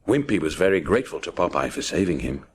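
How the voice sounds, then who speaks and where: Deep, theatrical male storybook narrator. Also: big pitch swings, fast, a little hiss or hum. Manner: punchy, theatrical sound-effect words. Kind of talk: dramatic children's story narration.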